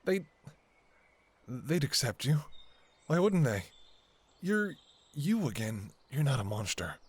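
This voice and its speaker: A man performs a devoted male doctor in an intimate audio drama, speaking to a lover. The voice is breathy and a little rough, with wide swings in pitch. The delivery is slow, halting and emotionally raw. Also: sing-song, heavily acted. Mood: pleading. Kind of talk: ASMR audio roleplay, intimate dramatic monologue.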